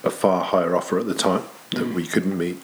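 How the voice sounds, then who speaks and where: A middle-aged Englishman with an English accent, relaxed in an interview chat. His voice is very deep, with faint noise in the background. He takes his time and laughs easily. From England. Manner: easygoing, laughs often, unhurried. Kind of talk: casual conversational interview.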